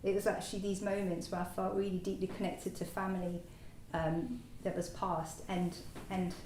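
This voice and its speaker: Halting woman in an echoey hall. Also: on the low side, little pitch movement, a little mellow. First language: French. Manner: hesitant, halting, many fillers and restarts. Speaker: new Buddhist order member. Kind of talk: personal reflective talk. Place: meditation centre hall. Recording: echoey hall, distant mic, faint noise.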